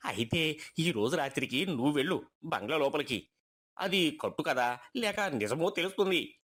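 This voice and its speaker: A man's voice: on the high side, animated, moderate pace, a bit bright. A man voicing sceptical, bickering village men who gossip and taunt in a story. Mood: astonished, bickering.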